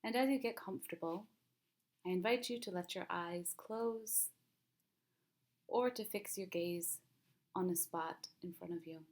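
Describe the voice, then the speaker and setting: A woman with a slightly low voice leads a guided meditation. She speaks in a calm, soothing manner, leaving long, unhurried pauses between gentle instructions, as a mindfulness teacher would.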